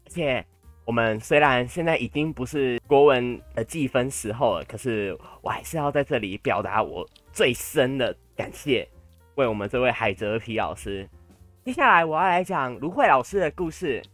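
A man's voice: medium pitch, animated, normal speed, fairly bright tone, no room sound. A young male podcast co-host with a Taiwanese Mandarin accent chats and banters casually. His intonation swings widely and playfully, with a theatrical streak. The sound is dry, with faint music underneath.

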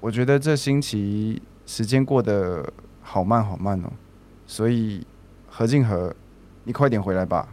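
A young man with a Taiwanese accent acting a shy, earnest, halting student in an audio drama. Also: on the low side, warm tone, slight background noise, lightly acted. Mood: shy longing. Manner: halting, awkward. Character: shy, awkward, earnest lovesick male student.

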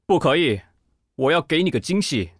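Theatrical, swooping Taiwanese magazine director. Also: male voice, fairly high pitch, big pitch swings, moderate pace, no room sound, studio quality. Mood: bossy. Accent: Taiwanese Mandarin. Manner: theatrical, bossy, haughty. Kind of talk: radio drama acting.

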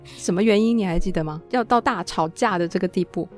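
A woman's voice: fairly low pitch, fast, a little mellow, faint music behind. A woman with a Taiwanese Mandarin accent hosting a casual interview, listening closely and putting short questions to her guest. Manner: attentive, short questions and backchannels. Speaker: podcast host, interviewer.

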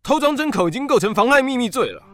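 A man's voice: very high-pitched, very animated, fast talker, a bit bright, dry recording, full character voice. A man acting as a stern, indignant police interrogator in an audio drama, scolding with incredulity.

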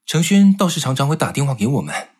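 An actor playing a composed, considerate young man in an audio drama, measured and polite. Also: mid-range voice, very animated, a little mellow, husky. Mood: courteous, sympathetic. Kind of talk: Mandarin audio drama acting.

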